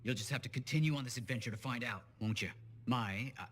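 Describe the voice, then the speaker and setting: A man's voice: mid-range voice, fast talker, a bit bright, a little hiss or hum, lightly acted. A man playing an eccentric, pompous scholar in a sci-fi video game, speaking with theatrical precision. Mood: enthusiastic, mysterious. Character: eccentric, dramatic male scholar researcher. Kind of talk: acted game dialogue.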